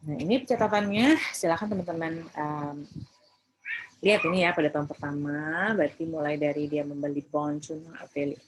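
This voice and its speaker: A woman's voice: sits low, a little fast, a little hiss or hum, low-quality recording. A woman with an Indonesian accent giving an informal recorded lecture, explaining step by step with English terms mixed in and frequent checking tags. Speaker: accounting lecturer.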